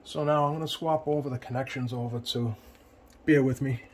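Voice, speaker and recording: Man with noisy background, halting delivery. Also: medium pitch, steady tone. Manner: plain-spoken, hesitant, pausing often mid-sentence. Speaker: CB radio repair technician presenting. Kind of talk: casual hands-on tutorial narration. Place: indoor electronics workbench. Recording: clear background noise, home workbench room.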